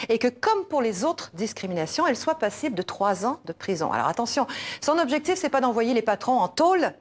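A woman from Quebec with a Québécois accent, delivering a wry, scripted broadcast commentary as a journalist. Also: a little high. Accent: Québécois French. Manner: wry, lively, ironic delivery. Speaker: journalist giving a commentary segment.

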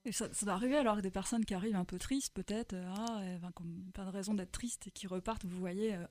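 A woman speaking softly and haltingly, trailing off between words. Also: mid-range voice. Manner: hesitant, trailing, soft. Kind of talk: casual remark.

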